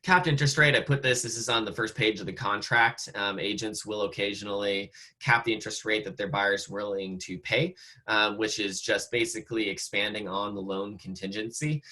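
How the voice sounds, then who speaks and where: A man with an American accent, slightly hoarse, teaching real estate agents over a video call in a casual way, with lots of fillers and restarts. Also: fairly low pitch, steady tone, moderate pace, pushed and bright. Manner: conversational, many fillers and restarts. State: slightly hoarse, losing his voice. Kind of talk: online training talk.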